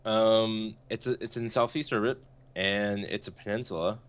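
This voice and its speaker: A man's voice: lowish voice, steady tone, normal speed, clean tone. A young adult man co-hosting a podcast in casual, slangy banter, speaking into a headset in a bedroom. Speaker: podcast co-host. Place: small bedroom, indoors. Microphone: headset with boom, online call. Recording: faint background noise.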